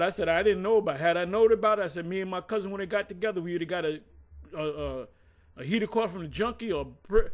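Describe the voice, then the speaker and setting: Brief: a man with a high voice, blunt and profane, rambling through old grievances in casual talk. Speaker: former group member recounting events. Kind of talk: casual conversation.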